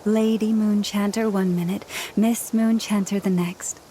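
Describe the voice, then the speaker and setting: A woman playing a gentle, dignified healer in a video game cutscene, calm and soft-spoken. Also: mid-range voice, measured pace, slight background noise, close to an everyday voice, rough recording.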